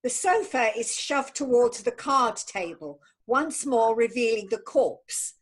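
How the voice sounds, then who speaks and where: A woman's voice: fairly high pitch, lively intonation, measured pace, slightly rough. A woman narrating stage directions in a scripted play reading.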